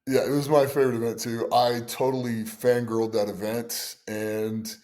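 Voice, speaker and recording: A middle-aged man hosting a podcast, telling stories excitably with stammering restarts. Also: medium pitch, moderate pace. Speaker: podcast host. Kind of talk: casual podcast chat.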